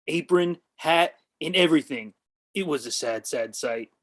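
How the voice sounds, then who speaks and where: A woman reading the part of a deadpan male buddy at a comedy table read. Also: low voice, unhurried.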